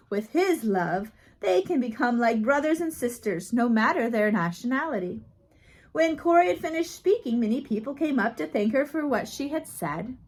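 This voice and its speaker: A woman reading a biography aloud as scripted narration, in measured phrases like an audiobook reader. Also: fairly high pitch.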